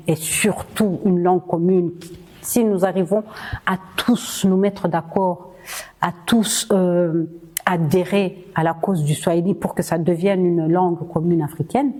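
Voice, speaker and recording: A woman's voice: mid-range voice, dark tone. A Rwandan-born woman hosting a podcast, speaking earnestly and rallying her listeners, with frequent hesitations and repeated words. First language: Kinyarwanda. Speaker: podcast host. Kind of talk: impassioned podcast monologue.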